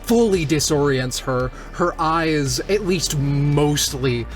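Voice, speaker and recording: Higher-voiced man, slow and deliberate. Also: lively intonation. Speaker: roleplaying game player. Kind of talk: tabletop play, narrating own character's actions. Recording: music playing behind. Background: background music.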